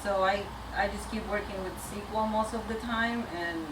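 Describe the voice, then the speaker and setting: Venezuelan-accented woman speaking in an echoey room. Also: medium pitch. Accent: Venezuelan Spanish. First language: Spanish. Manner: candid, halting, nervous. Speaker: community track lead speaker. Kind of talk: informal personal talk to a meetup audience. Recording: echoey noisy room, distant microphone.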